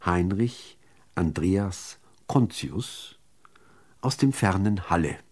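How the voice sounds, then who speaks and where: A man reading literary prose as a radio narrator, in a measured, deliberate delivery with dramatic pauses. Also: low-pitched, animated, a little mellow.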